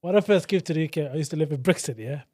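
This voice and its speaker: A man's voice: a little high, very fast, darkish tone. A man co-hosting a podcast who talks casually, full of slang and frequent "bro"s.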